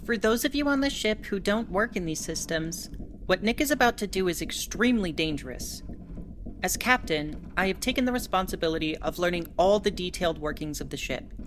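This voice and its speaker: A woman's voice: lowish voice, lively intonation, fairly quick, slight background noise, natural voice. A woman voicing a winged, four-armed female alien starship captain who speaks in an earnest, explanatory way. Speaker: ship captain. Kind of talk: audio drama narration.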